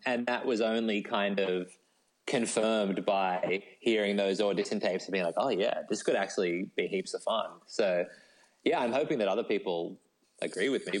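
Low-voiced Australian man, casual. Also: steady tone, moderate pace, poor audio. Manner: laid-back, self-deprecating. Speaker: guest, novelist. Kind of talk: casual interview chat.

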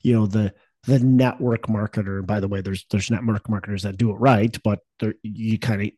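A man's voice: fairly low pitch, steady tone, fairly quick, mellow, husky, no echo, studio quality. A man hosting a podcast in casual chat, with a stuffy nose and a cough, rambling with many fillers and restarts. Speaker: podcast host.

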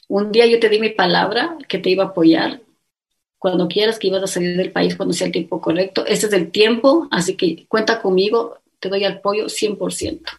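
A middle-aged woman with an Ecuadorian accent, earnestly telling her life story as an interview guest and acting out what others said to her. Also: mid-range voice. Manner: earnest, animated storytelling with quoted dialogue.

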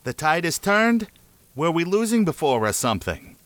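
A man's voice: on the high side, very animated, moderate pace, fairly bright tone. A man playing a radio correspondent in a theatrical 1930s radio drama spoof. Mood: grumpy, indifferent. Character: male 1930s radio reporters, frantic eyewitness or grumpy indifferent correspondent.

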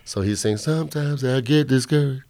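A middle-aged man from the American Southwest chats on a podcast in a loose, profane, wandering way. He is a little drunk and hungover, and his voice sits at an ordinary pitch for a man. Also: brisk, a little mellow. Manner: loose, profane, rambling storytelling. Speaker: podcast host. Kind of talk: casual chat.

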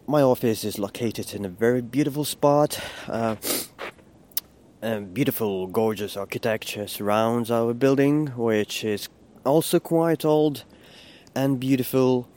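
A man's voice: medium pitch, darkish tone. A Ukrainian man in his late twenties hosting a podcast, talking candidly while he walks to work outdoors, with fillers and restarts. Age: 20s. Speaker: podcast host. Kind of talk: casual walking monologue. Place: outdoors on a city street, walking.

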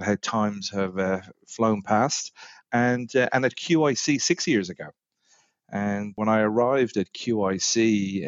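A middle-aged Irish man, a technology executive, answering podcast interview questions in a measured way with frequent fillers. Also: on the low side, no room sound, dull audio. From Ireland.

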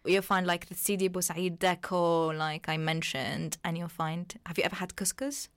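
A young woman from Tunisia, an Arabic speaker, chatting as a podcast guest in a lively way, laughing often and using lots of fillers. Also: on the low side, moderate pace, clear, resonant tone, no room sound. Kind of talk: casual podcast chat.

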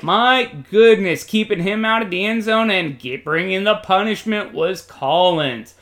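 Man, high-pitched voice, football play-by-play, colloquial, jokey, exclamatory. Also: lively intonation, normal speed, a bit bright. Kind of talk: excited sports commentary.

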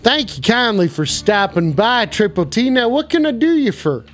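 A man's voice: sits high, very animated, normal speed, faint music behind. A man playing a hot-tempered, foul-mouthed cowboy with a put-on Southern drawl, blustering and repeating himself as he hosts an improvised comedy call-in stream. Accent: put-on American Southern. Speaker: call-in show host.

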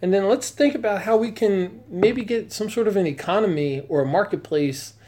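A man's voice: sits high, normal speed, a little mellow. A man interviewing a guest in a studio, talking conversationally in an enthusiastic, encouraging way. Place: weaving studio, indoors.

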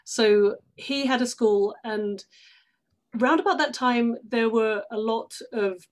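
A woman's voice: a little high, slow pace. Woman explaining in an interview. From London, England. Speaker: interview guest, stage actress. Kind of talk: casual explanatory interview talk.

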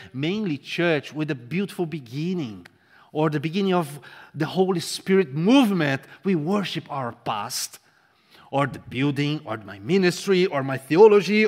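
Portuguese-speaking man preaching in a reverberant hall. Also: high voice. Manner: impassioned, swings from loud to hushed, repeats words for emphasis. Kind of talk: sermon. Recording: long reverb of a large hall.

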